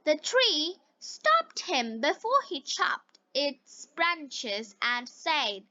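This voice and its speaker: A woman narrating slowly as an English teacher, reading scripted material for learners in a dull, lo-fi recording. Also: high voice, sing-song, pushed and bright, dry recording.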